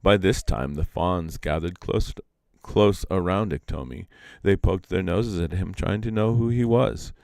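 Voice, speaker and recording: A man reading a story aloud live as an expressive storyteller. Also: low voice, measured pace, creaky, no room sound. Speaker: storyteller, reader.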